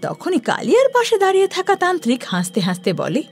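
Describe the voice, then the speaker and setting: A woman narrating a scripted horror story in a dramatic, expressive way. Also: very high voice, a little fast, soft background music.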